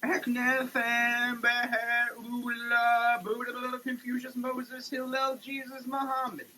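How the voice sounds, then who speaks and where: A person giving a dramatic, declamatory reading in a halting way, often repeating words. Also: mid-range voice, completely flat delivery, pushed and bright. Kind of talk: dramatic, theatrical reading of a product label. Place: in a running shower. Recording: noisy wet shower recording.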